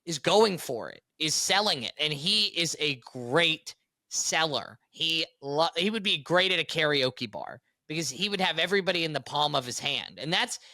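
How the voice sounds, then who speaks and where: Young male co-host, bright and lively, tenor-ish, close dry studio mic. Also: fairly high pitch, normal speed, no room sound. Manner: upbeat, animated storyteller. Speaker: podcast co-host. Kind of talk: casual chat.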